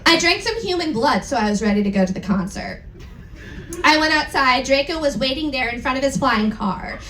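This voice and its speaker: A woman's voice: high voice, lively intonation, a little fast, pushed and bright. A woman giving a comedic dramatic reading of a story to a live audience in a theatrical, amused manner. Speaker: performer reading fan fiction aloud. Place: indoor live event venue. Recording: echoey hall, distant mic, background noise.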